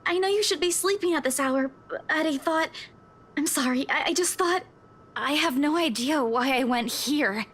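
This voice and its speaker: A woman's voice: high voice, moderate pace, a bit bright. An actress playing a shaken, apologetic young woman in a visual novel game, speaking hesitantly with stammers.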